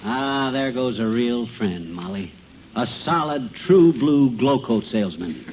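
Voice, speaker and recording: An actor playing a blustering, muddled, folksy know-it-all husband in a 1950s radio comedy. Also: male voice, medium pitch, lively intonation, unhurried, natural voice. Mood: folksy, wry. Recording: dull, noisy old radio recording.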